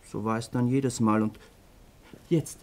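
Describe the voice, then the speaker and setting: An actor playing a troubled, ashamed teenage son in a German radio crime drama, speaking earnestly and hesitantly, as if confessing. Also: male voice, medium pitch, steady tone, unhurried, natural voice. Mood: bitter confession.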